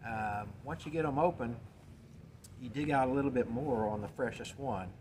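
A man in his sixties gives a casual, practical explanation at an average pitch. He is plain-spoken and often halts to find his words. He is recorded indoors on a camera mic, with noticeable ambient noise around him. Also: normal speed, a little mellow. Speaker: guest explaining a home method. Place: indoors in a cluttered shop. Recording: noisy room with background ambience.

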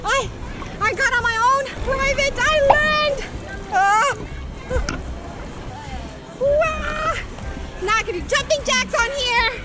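A woman in her thirties vlogging excitedly on an outdoor beach, squealing, giggling and whooping often. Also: very high-pitched, takes it slowly, long pauses, a loud crowd. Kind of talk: excited travel vlog. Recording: loud background music.

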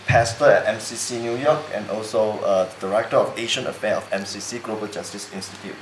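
A man's voice: lowish voice, fairly even intonation, fast talker. Malaysian man introducing himself, hissy roomy recording. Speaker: professor and pastor. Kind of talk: self-introduction to a group. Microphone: handheld microphone. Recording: roomy, clear hiss.

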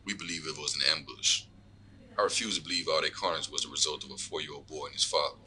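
A very low, hard-edged, forceful voice of a man acting as a corrupt lawman in an audio drama. He is smug and taunting, leaning on his informant with cool, profane threats. Faint noise sits behind him. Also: fairly even intonation, quick pace, exaggerated voice, rough recording. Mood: cold, taunting. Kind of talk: scripted audio drama dialogue.

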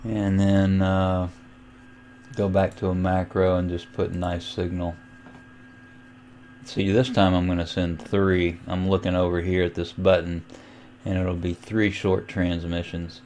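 A man giving a casual, unhurried screen tutorial, thinking aloud as he goes. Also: deep voice, monotone, darkish tone, a little hiss or hum. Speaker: amateur radio tutorial host. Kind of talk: casual screen-recorded software walkthrough.

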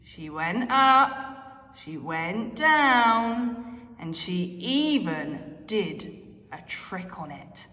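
A young woman who reads and talks to small children as an early years educator, with expressive, drawn-out emphasis. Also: medium pitch. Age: young adult. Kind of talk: children's story reading and quiz. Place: carpeted nursery classroom, indoors. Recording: echoey room with long reverb.

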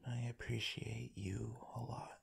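A man acts out a drowsy, devoted boyfriend in an intimate ASMR roleplay. His voice is very breathy and husky, often dropping into whispers, with heavy creak. He murmurs slowly and tenderly, leaving long pauses. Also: mid-range voice, little pitch movement, heavily acted. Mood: tender, loving. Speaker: roleplay voice performer.

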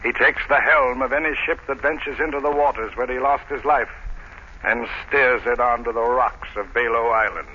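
A man's voice: mid-range voice, moderate pace, lightly acted. An actor playing a grim, haunted seaman telling an ominous tale in dramatic 1930s radio style. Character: grim, haunted seaman recounting a ghost legend. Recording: dull, noisy 1930s radio recording.

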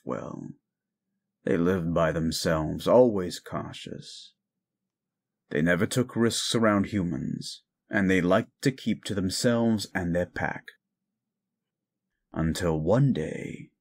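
A man playing a bitter, grieving, gruff werewolf in an audio drama, cynical and scolding. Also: low-pitched, a little mellow, full character voice. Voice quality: gruff, gravelly. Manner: cynical, scolding, deliberate storytelling. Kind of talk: audio roleplay drama acting.

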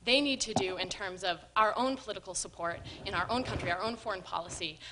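A young woman asks a long question from a standing microphone in a hall, with hesitant pauses and many ums. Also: mid-range voice, noisy background. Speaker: audience member asking a question. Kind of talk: public question from the floor. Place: lecture hall. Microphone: standing mic.

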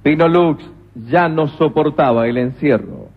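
A man's voice: a little high, unhurried, breathy edge. A man narrating a comic radio play with a dramatic storyteller delivery. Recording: tinny lo-fi radio recording.

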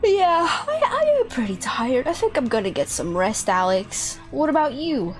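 A woman's voice: high voice, very animated, moderate pace. A young woman playing a sweet, anxious villain girlfriend in an improvised video game roleplay. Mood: excited, tired. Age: young adult. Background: music.

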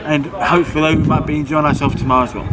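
A man's voice: on the high side, level tone, rapid-fire, crowd noise, low-quality recording. A man in his thirties chatting cheerfully and rambling to the camera outdoors on a quay. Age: 30s. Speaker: trip participant interviewed. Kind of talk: casual chat to camera. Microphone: camera mic across a table. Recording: outdoor noise from traffic.

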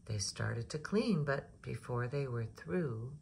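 Female picture-book reader: slow, creaky low voice, rhythmic, chatty asides to kids. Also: lively intonation, darkish tone, slight background noise. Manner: rhythmic rhyming delivery, engaging listeners with questions. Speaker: storyteller reading to young children.

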